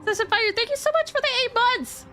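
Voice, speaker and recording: A woman's voice: very high voice, quick pace, sharp tone, a little hiss or hum. A woman livestreamer chatting casually and expressively as she reacts to a game, often exclaiming. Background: game music.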